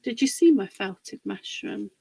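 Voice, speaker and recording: Husky woman hosting a slow craft livestream. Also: medium pitch, lively intonation, background noise. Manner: chatty, greets viewers by name. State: husky from a cold. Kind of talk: casual live craft demonstration.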